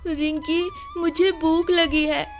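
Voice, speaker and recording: Woman as small child, very high pitch, earnest, pleading, animated moral tale, music behind. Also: slow, heavily acted. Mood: hungry, sad. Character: two orphaned children, a brother and sister, earnest.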